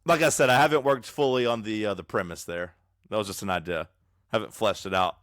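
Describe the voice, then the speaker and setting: Loud, teasing man on a podcast. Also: lowish voice, brisk, no echo, clean studio sound. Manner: loud, teasing, jumps in with bits. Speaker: podcast co-host. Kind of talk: casual banter. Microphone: own microphone, recorded remotely in an app.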